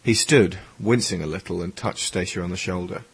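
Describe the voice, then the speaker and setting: A man's voice: deep voice, fairly quick, vocal fry, husky, low-quality recording. A man reading scripted third-person story narration for an audio drama. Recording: music under the voice.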